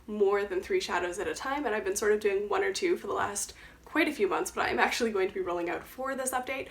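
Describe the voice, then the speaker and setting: A woman's voice: medium pitch, creaky. Young woman, nasal from a cold, speedy chatty delivery, casual beauty vlog at home. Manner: chatty, quick, self-correcting. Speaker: beauty vlogger. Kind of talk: casual makeup chat.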